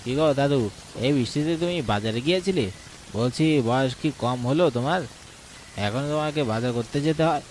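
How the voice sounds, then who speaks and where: Man acting as caring young villager, friendly, cartoon dialogue, clear rain noise. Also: medium pitch, moderate pace, a little mellow, voice pushed up, lightly acted, low-quality recording. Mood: teasing concern. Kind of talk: animated horror story dialogue. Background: falling rain.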